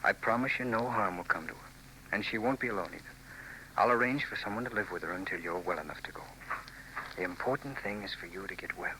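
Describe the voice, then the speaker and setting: A man playing a gentle, earnest young priest in a 1940s radio drama. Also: on the low side, fast talker, background noise, natural voice. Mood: reassuring. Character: young kindly parish priest.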